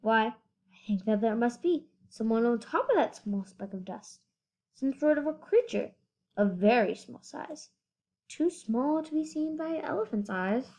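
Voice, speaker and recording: An earnest, protective storybook elephant voiced by a woman reader. He speaks in a high voice, with emphatic, kindly insistence. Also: animated, measured pace, darkish tone. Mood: pondering.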